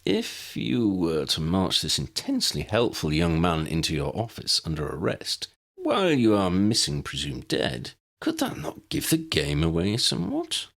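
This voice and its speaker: A man voicing an urbane, wry male wizard in an audiobook reading, speaking in a measured, formal way. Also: fairly low pitch, wildly swooping pitch, gravelly, a bit bright, full character voice.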